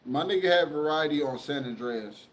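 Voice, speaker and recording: A man's voice: fairly high pitch, lively intonation, normal speed, poor audio. A young man hosting a casual chat, talking loosely and profanely with lots of rambling. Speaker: podcast host.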